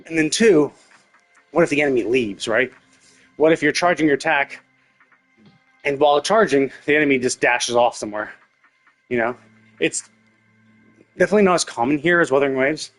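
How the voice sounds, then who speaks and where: A man's voice: fairly high pitch, normal speed, long pauses, fairly bright tone, soft background music. A man doing casual live-stream commentary on a game, rambling analytically as he thinks aloud.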